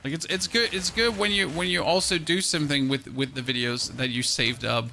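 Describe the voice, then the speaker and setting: A man's voice: steady tone, fairly quick, creaky, sharp tone, a little hiss or hum. A man streaming a game and chatting casually with viewers, rambling and repeating words as he restarts his sentences. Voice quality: high-pitched voice. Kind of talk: casual gameplay chat with viewers.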